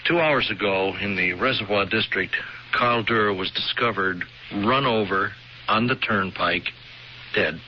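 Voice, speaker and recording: An actor playing a formal, businesslike police investigator in a measured, official manner, in a 1970s radio mystery. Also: male voice, on the low side, lightly acted. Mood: grave, official. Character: formal, businesslike male police investigator breaking grim news. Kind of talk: 1970s radio drama acting. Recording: dull lo-fi old radio recording, faint noise.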